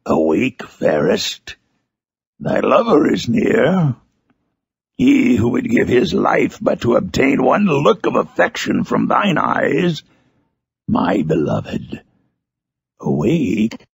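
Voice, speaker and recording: A menacing outcast creature telling his dark tale like a gothic storybook. The voice is deeply gravelly and husky, with huge swings in pitch. He speaks slowly and theatrically, brooding and bitter. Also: huge pitch swings, no room sound, exaggerated voice. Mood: tender whisper. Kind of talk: dramatic first-person literary monologue.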